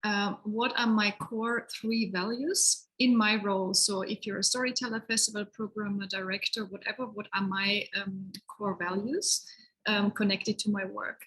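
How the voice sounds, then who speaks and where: A woman facilitating an online workshop over a video call, giving gentle instructions with many hesitations. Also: medium pitch, steady tone, moderate pace, husky, rough recording. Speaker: session facilitator. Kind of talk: guiding a workshop exercise.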